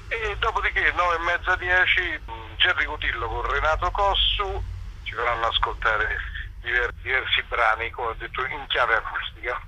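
A man's voice: medium pitch, bright, forceful tone. A man chatting casually over a telephone line, explaining in a rambling, hesitant way with wry, self-deprecating asides. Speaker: event organiser, interview guest. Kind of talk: casual phone interview, informal explaining. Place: remote phone call to a radio show.

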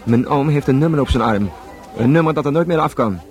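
An actor playing a young, hot-headed, cynical police detective in a radio play, argumentative and sarcastic. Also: male voice, mid-range voice, fast, a little mellow, natural voice. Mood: agitated.

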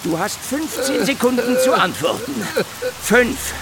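An actor playing a sardonic, weary and menacing male private detective in a noir radio drama, with drawling dark wit. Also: very high voice, expressive, measured pace, vocal fry, a loud crowd, heavily acted, poor audio. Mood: menacing, aggressive. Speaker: lead actor and narrator in radio play. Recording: music under the voice.

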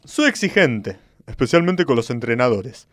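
A man's voice: mid-range voice, sing-song, dry recording, studio quality, lightly acted. A man with an Argentine accent playing a pompous, eccentric football club president in a radio comedy sketch. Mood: pompous, poetic. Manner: pompous, halting then grandiloquent.

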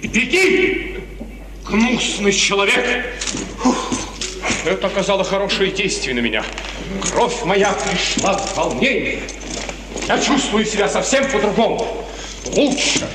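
An actor playing a proud, hot-tempered young count, haughty, curt and sarcastic, in 1950s radio theatre style. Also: male voice, high voice, sing-song, a little slow, pauses often, distant mic. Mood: excited, defiant. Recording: echoey, faintly noisy 1950s radio drama recording.

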